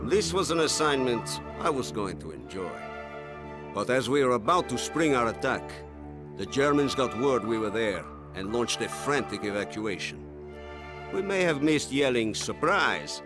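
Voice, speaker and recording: A male actor as a Soviet tank commander, battle-hardened and wry. His pitch is mid-level and swings widely, in dramatic war-game style over music. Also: sing-song, moderate pace, close to an everyday voice. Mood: wry, resolute. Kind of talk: scripted war-game narration and battle shouts.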